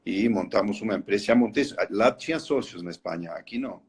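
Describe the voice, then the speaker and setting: A man's voice: on the low side, quick pace, breathy edge. A middle-aged man from Argentina whose first language is Spanish, with an Argentine Spanish accent, telling his story as a guest on a live interview and hesitating often. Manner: hesitant, restarts phrases, frequent "né?". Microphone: video call.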